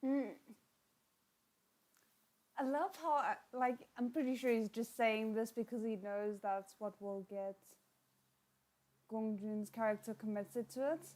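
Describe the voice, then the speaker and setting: A woman giving casual live reaction commentary, musing aloud in a halting way with trailing asides. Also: on the high side, fairly even intonation, darkish tone. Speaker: reaction host.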